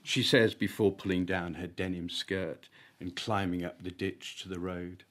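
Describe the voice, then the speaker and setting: A man with a fairly low voice reads his own short story at a live literary event. His phrasing is deliberate and emphatic, timed for wry comic effect. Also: level tone, a bit bright. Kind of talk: live dramatic story reading.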